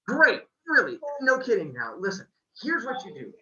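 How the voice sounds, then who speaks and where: Reader doing a blustery old shopkeeper man, thick Italian-style accent, high and lively, echoey room. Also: moderate pace. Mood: shouting. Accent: put-on Italian-style. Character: gruff old male bookseller, impatient. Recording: echoey room, dull sound.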